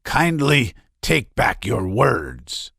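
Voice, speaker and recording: A man's voice: medium pitch, big pitch swings, extremely slow, gravelly, husky, dry recording, heavily acted. Indignant, blustering middle-aged doctor, voiced by a male reader; dry, close sound. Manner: emphatic, then shaky and soft.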